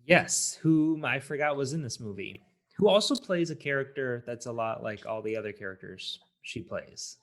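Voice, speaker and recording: A man with an average-pitched voice chats as a co-host on a film review podcast. He speaks informally, piecing his thoughts together in short fragments. The delivery is conversational and somewhat halting. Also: expressive. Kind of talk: casual film review chat.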